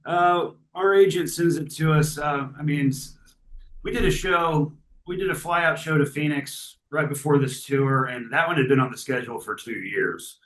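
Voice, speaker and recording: A man, a guest musician chatting casually from a hotel room over a video call, relaxed and rambling with lots of fillers. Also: a little high, normal speed, rough recording. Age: 40s. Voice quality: gravelly. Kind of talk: casual interview chat.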